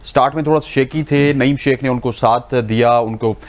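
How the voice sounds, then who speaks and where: A man's voice: medium pitch, fairly even intonation, normal speed. A man hosting a studio cricket show, reading stats and questioning guests with frequent fillers. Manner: presenter tone with many fillers. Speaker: sports show host. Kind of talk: TV sports presenting.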